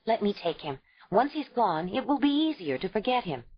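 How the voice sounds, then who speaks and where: A woman's voice: mid-range voice, sing-song, brisk, breathy edge, voice pushed up. A woman voicing an older clan woman who firmly reasons with and admonishes her adopted daughter. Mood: firm gentle. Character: older clan woman, healer and mother figure, stern but grieving. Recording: dull lo-fi audio.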